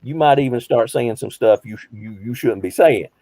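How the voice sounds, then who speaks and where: Mellow-voiced man in his fifties. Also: mid-range voice, normal speed, slight background noise. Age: 50s. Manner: asks rhetorical questions, repeats phrases for emphasis. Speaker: behavioral health counselor. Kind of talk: conversational group teaching.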